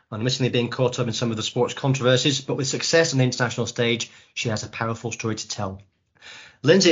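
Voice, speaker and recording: A man's voice: medium pitch, fairly bright tone, husky, muffled recording. A man hosting a podcast interview, chatty, with frequent restarts. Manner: quick, chatty, stumbles and restarts. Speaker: podcast host.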